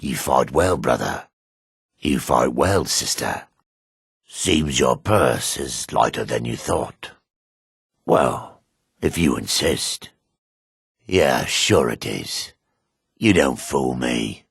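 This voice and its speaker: A weathered dark elf fighter, with an extremely deep voice full of gravel. He is grim and measured, with menace in a lively, moving intonation. It is fantasy game dialogue, recorded dry and close. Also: no echo, exaggerated voice. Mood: grave. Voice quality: gravelly, husky. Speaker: video game voice actor. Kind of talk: fantasy game character lines.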